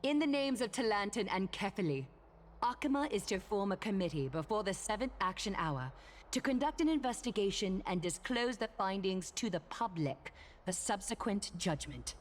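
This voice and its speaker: A woman's voice: mid-range voice, lively intonation, normal speed, slight background noise, lightly acted. A woman playing a solemn, authoritative judge in a game, delivering formal proclamations in a stately, declamatory way. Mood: stern, official. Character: solemn, authoritative female judge presiding over a public trial.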